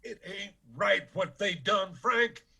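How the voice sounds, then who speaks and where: Husky, piercing, indignant Appalachian father. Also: high-pitched, expressive, takes it slowly, pushed and bright, heavily acted. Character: indignant older Appalachian father demanding justice for his sons. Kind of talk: radio drama acting.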